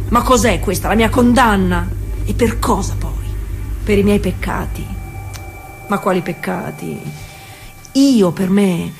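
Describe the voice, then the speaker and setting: An actress playing a defiant working-class woman cyclist confiding in Jesus in a colloquial theatre monologue. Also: medium pitch. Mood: rueful, questioning. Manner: confiding, colloquial, with drawn-out pauses and hushed asides. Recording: music playing behind the voice.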